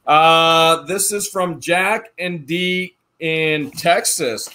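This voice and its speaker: A man co-hosting a casual vlog, opening fan mail and reading letters aloud with playful, animated jokes. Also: on the high side, extremely slow. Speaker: channel co-host.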